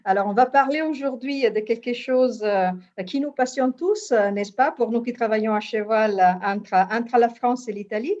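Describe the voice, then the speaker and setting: A woman's voice: on the high side, normal speed, darkish tone. A woman hosting an interview, friendly and hesitant, with frequent fillers. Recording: dull lo-fi sound.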